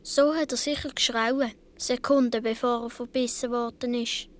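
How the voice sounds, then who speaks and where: A woman's voice: on the high side, fairly even intonation, moderate pace, close to an everyday voice. A woman playing a seven or eight year old Swiss boy, telling his story earnestly with a Swiss German accent in a radio play. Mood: earnest, tense.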